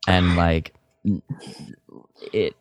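A man co-hosting a podcast, chatting informally and thinking aloud in a halting way. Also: low voice, level tone, no room sound. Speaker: podcast co-host. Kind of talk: casual chat, media discussion.

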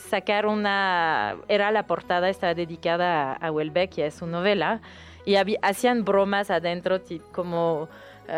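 French-accented woman guest, halting and thoughtful, low-ish voice, soft music underneath. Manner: hesitant, frequent restarts and fillers. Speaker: guest book recommender. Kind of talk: casual radio interview.

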